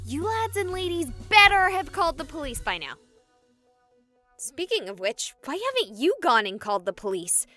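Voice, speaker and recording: A woman's voice: high voice, very animated, moderate pace, sharp tone, faint music behind, full character voice. An actress playing a sarcastic, chatty young woman streamer who talks to her viewers. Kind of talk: voiced game dialogue.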